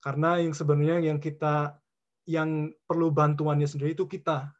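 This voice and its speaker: A man from California giving an informal Islamic lecture as a guest speaker, mixing in English words and pausing often mid-sentence in short phrases. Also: flat delivery. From California, United States. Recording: dull, muffled low-fidelity audio.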